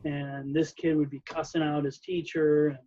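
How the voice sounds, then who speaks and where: A man's voice: a little high, flat delivery, moderate pace, warm tone, highs cut off, slight background noise. A man, a coach, talking casually and reflectively, with many "you know"s. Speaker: wrestling coach. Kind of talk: casual conversation.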